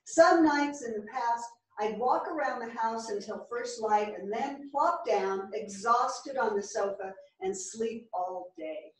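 A woman's voice: a little high, lively intonation, takes it slowly, hardly any pauses. A woman playing an outspoken, philosophical older writer in a staged play reading. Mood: reflective. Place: small theatre stage, indoors. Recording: very echoey theatre, distant microphone.

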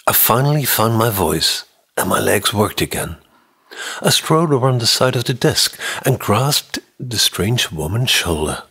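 A man in his sixties with a husky voice, giving a tense, dramatic audiobook reading of a mystery novel close to the microphone. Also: lowish voice, very animated, unhurried, sharp tone. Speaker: audiobook narrator. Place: indoor room, office or home studio. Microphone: close condenser microphone on a boom arm.

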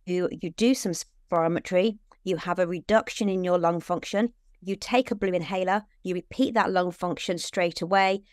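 A British woman in her forties, a children's lung doctor, explaining clearly and steadily on a video call from a room at home. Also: on the low side, no room sound, clean studio sound. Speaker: paediatric respiratory consultant. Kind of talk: answering questions in an online talk.

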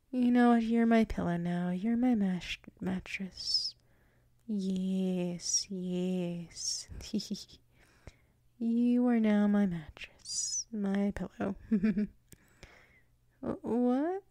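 A woman playing a flustered, submissive tsundere werewolf in an intimate ASMR roleplay, halting and murmuring, often slipping into whispers close to the mic. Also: on the high side, dark tone, no echo. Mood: sleepy, coy. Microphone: close microphone.

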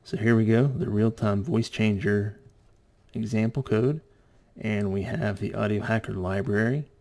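Low-pitched gravelly man giving a tech tutorial. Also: fairly even intonation, a little slow, a little mellow. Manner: plain, step-by-step explaining. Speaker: tutorial presenter. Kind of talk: informal hands-on tech tutorial.

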